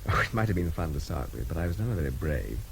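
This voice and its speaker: An actor playing a wry, war-weary intelligence captain in a radio drama, dry, clipped and self-deprecating. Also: male voice, a bass voice, sing-song, fast, gravelly, full character voice. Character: war-weary, wry British army intelligence captain, frank about fear. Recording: radio drama with faint background noise.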